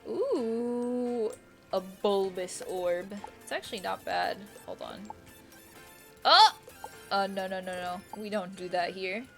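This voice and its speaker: A woman's voice: mid-range voice, takes it slowly, gravelly. A woman live streaming a game, thinking aloud in a casual, rambling, indecisive way and repeating herself. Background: quiet music.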